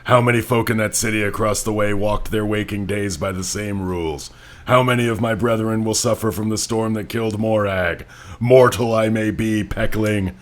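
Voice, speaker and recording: A man's voice: on the low side, fairly bright tone. A man co-hosting a podcast, moving between casual wry comments about books and dramatic read-alouds. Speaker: podcast co-host.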